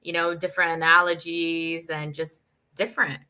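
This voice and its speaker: A woman's voice: low voice, level tone, normal speed, sharp tone, clear, resonant tone. A woman hosting an interview, enthusiastic and full of exclamations. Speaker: interview host. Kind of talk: conversational interview.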